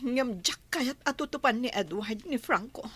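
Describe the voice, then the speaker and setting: A woman's voice: on the high side, lively intonation, normal speed, fairly bright tone, slightly rough, dry recording. An actress playing an indignant, anxious young lady doctor in an Ilocano drama, with a dramatic, tense delivery. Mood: worried. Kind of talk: Ilocano radio-style drama acting.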